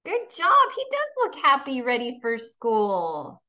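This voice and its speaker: Female kindergarten teacher reading to kids, highish voice, encouraging, bit muffled recording. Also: wildly swooping pitch, a little slow, fairly bright tone. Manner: encouraging, instructional, addressing young pupils with questions. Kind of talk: children's read-aloud lesson.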